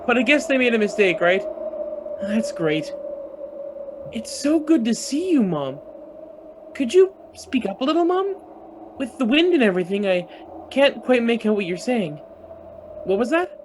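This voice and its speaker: A man acts out a dazed son under the spell of an apparition in a radio drama. His pitch moves a great deal as he speaks with hesitant, dreamy questions. Faint wind ambience lies behind him. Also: very animated, a little slow, long pauses, heavily acted. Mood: amazed, eager. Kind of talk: naturalistic radio play acting.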